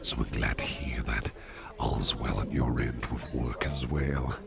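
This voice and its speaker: A man playing a kindly, protective businessman boss in an ASMR roleplay, speaking in a gentle, reassuring, gravelly murmur. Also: measured pace, exaggerated voice. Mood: warm, gentle. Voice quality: very deep, gravelly, breathy murmur. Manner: gentle, reassuring, softly spoken. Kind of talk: ASMR personal attention roleplay. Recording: clear background noise and ambience.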